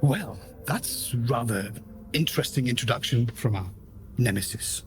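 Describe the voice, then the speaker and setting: A man hosts and narrates a radio play in a medium-pitched voice. His delivery is formal and theatrical, like scripted storytelling, with music behind him. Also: expressive, measured pace. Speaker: host and narrator.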